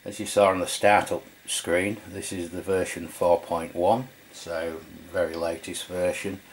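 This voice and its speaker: A middle-aged Englishman with a low-pitched voice talks through a piece of equipment. He is informal and matter-of-fact, in the casual style of a hands-on video review. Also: flat delivery, measured pace, breathy edge. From England. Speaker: radio review channel host.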